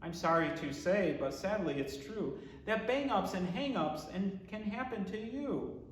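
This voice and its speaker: A man with a high-pitched voice reads a children's storybook aloud in an expressive, theatrical way. His pitch swings widely through the rhymes, and he phrases each line deliberately. The sound is echoey, with long reverb around the voice. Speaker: pastor reading a children's book aloud. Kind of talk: expressive storybook read-aloud in rhyming verse.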